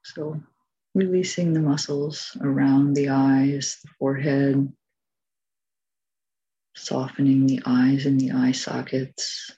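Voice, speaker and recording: A woman leading a guided meditation as its teacher, giving gentle, measured instructions with long pauses. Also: rumbling low, flat delivery, slightly rough, highs cut off.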